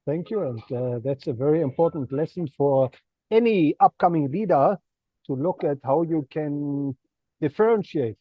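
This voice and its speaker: High, lively-voiced male interviewer. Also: normal speed, dull audio. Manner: polite, encouraging questioner. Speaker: interview host. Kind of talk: interview questions.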